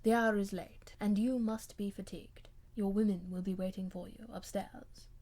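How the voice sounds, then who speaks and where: Woman reading as a formal, restrained gentleman. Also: mid-range voice, fairly even intonation, close to an everyday voice. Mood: cool courtesy. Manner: formal, gallant, measured, cold yet gentle. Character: proud, restrained English gentleman, coolly courteous to his lady.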